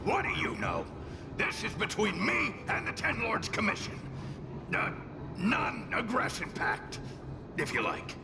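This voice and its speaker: An actor playing a cocky, menacing fire spirit in a video game, with a smug, taunting drawl. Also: male voice, high voice, huge pitch swings, a little slow, vocal fry, in a large hall, over music, exaggerated voice. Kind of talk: video game cutscene acting.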